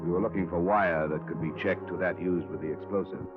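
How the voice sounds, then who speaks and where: A man's voice: deep voice, steady tone, brisk, music in the background, lightly acted. A man playing a dogged, hardboiled insurance investigator and narrator in a 1950s radio crime drama, terse, probing and matter-of-fact. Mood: matter-of-fact narration. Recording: muffled vintage radio broadcast recording.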